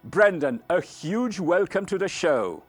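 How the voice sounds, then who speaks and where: A man hosting a podcast in an earnest, deliberate and emphatic way, moving between scripted introduction and interview. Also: sits high, very animated, faint music behind. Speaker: podcast host.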